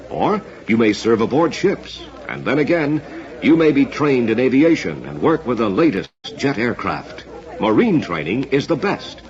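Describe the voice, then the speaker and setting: A man with an American accent announcing on a 1950s radio program, reading a scripted pitch in a polished, emphatic way. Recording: dull, band-limited old radio recording.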